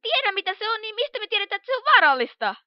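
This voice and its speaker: A woman voicing a brash, excitable young flying pony in a cartoon fan dub, talking in a blunt, impulsive, slangy way. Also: extremely high pitch, no echo. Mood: confused, exasperated. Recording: dull lo-fi home recording.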